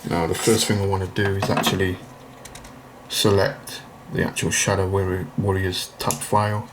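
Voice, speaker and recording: A man with a low-pitched voice walks through a practical demonstration in an informal, step-by-step way. He explains each part plainly, as the host of a how-to video. A noticeable layer of noise sits behind his speech. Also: level tone, moderate pace. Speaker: video host demonstrating a retro computer repair. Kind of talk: informal hands-on tutorial narration.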